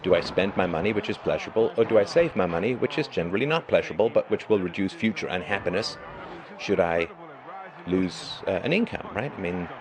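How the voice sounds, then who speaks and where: Man, middle-aged, very low voice, hoarse, emphatic lecturing monologue, crowd noise behind. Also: lively intonation, a little fast. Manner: emphatic, lecturing, with rising bursts of stress. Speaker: live stream host. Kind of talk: monologue commentary.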